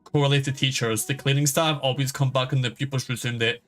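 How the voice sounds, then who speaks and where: A man's voice: mid-range voice, steady tone, fast talker, fairly bright tone. Sweary, rambling man with music behind. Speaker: game streamer. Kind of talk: casual stream chat. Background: background game music.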